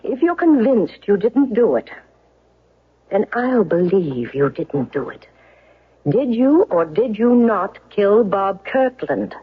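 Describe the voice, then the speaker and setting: Dull old radio sound carries a principled woman defense lawyer. Her voice is husky and mid-pitched. She questions deliberately and insistently, in earnest radio mystery style. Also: big pitch swings, exaggerated voice. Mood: pressing, intense. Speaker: actress in a radio drama. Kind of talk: radio mystery drama acting.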